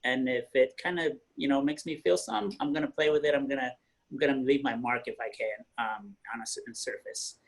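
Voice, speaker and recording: A young man from Northern Colorado, a painter and muralist, introducing himself on a panel in a relaxed way, often pausing to find his words. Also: medium pitch. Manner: relaxed, searching for words with many fillers. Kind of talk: casual self-introduction on a panel.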